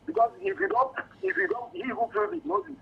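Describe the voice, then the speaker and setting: A man's voice: very high voice, very animated, a little fast, vocal fry. A Nigerian man calling a radio phone-in, giving an emphatic, aggrieved political opinion full of rhetorical questions. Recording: dull lo-fi phone call to radio.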